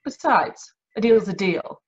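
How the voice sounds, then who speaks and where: A woman's voice: mid-range voice, expressive, unhurried, lo-fi sound, close to an everyday voice. An actress playing a tough, short-tempered lady schemer in an old-time radio drama, brassy and scornful. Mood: hard, scornful.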